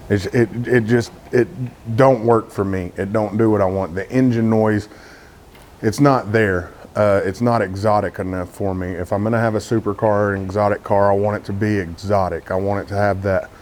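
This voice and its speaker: A man with a Texan accent and a lowish voice talks to his camera in a loose, candid vlog style. He rambles a bit and fills pauses with "uh" and "um". A faint background noise sits under his voice. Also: darkish tone. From Texas. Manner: candid, rambling, frequent "uh" fillers. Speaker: car vlogger. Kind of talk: casual talk to camera.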